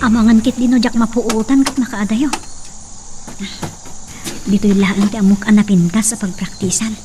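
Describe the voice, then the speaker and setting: A woman's voice: medium pitch, steady tone, normal speed, dark tone, faint music behind, natural voice. A woman playing a worried young woman who muses aloud in a radio drama.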